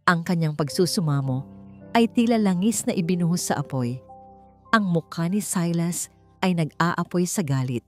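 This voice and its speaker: Lower-voiced Filipino woman narrating dramatically. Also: animated, moderate pace, soft background music. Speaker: story narrator. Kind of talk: dramatic scripted narration of a romance story.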